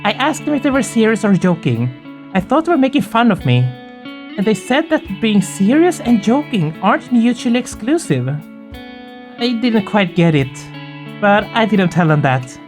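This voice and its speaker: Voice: medium pitch, sing-song, moderate pace. A game host with a mid-range, androgynous voice talks through a visual novel in an informal, candid way. The delivery is casual and slightly hesitant, moving between chat and read-aloud text. Faint plucked guitar music plays behind the voice.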